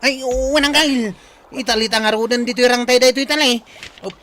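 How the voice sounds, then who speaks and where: A man's voice: very high voice, fairly even intonation, fairly quick, pushed and bright. A man acting an eager, deferential village helper in a drama serial, full of interjections. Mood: excited, straining. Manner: interjection-filled, respectful. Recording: noisy ambient backdrop.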